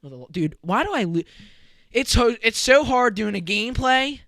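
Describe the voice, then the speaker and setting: Co-host, young guy, lively pitch swings, dry close sound, voice a bit shot. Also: creaky, no room sound. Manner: excitable, animated, quick bursts of emphasis. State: losing his voice. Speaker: podcast co-host. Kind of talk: casual sports chat.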